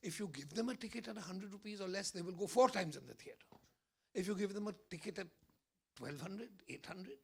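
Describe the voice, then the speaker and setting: A man talks in a press interview, his voice hoarse, gravelly and raspy. He sits a touch high in pitch and pushes every point home with emphatic, persuasive confidence. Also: expressive, a little fast, fairly bright tone. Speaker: film distribution executive.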